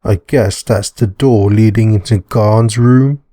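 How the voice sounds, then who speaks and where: A man's voice: fairly low pitch, unhurried, dark tone, dry recording, studio quality, close to an everyday voice. A man voicing a nervous amateur sleuth in a visual novel, hesitant and muttering to himself. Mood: pensive. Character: Dag, nervous male amateur sleuth thinking aloud.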